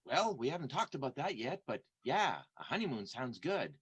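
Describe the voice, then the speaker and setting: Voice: medium pitch, very animated, moderate pace, husky. An actor playing a cheerful newlywed vaudeville performer with folksy, encouraging buddy talk in an improvised radio comedy.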